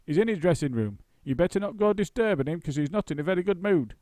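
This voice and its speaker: A man voicing a brusque working stagehand who shouts at an intruder. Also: sits high, lively intonation, fast talker, no echo, voice pushed up.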